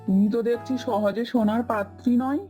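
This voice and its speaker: Cartoon crow, lazy and cunning, female performer, highish pitch, bossy grumbling, music underneath. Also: fairly even intonation, a little fast, dark tone, lightly acted. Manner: complaining, bossy. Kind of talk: cartoon story dialogue.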